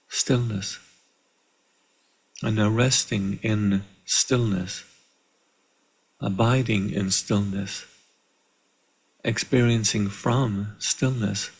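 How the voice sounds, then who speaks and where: A man leading a guided meditation in a calm, measured way, with deliberate pauses between short phrases. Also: on the low side, gravelly, breathy edge, rough recording. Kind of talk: guided meditation, slow and instructional. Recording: live online session.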